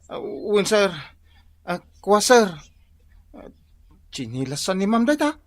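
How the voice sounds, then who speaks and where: A man playing an excitable, nervous, bumbling house guard in a comic radio drama, with a stammering, exclaiming delivery. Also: sits high, takes it slowly, exaggerated voice.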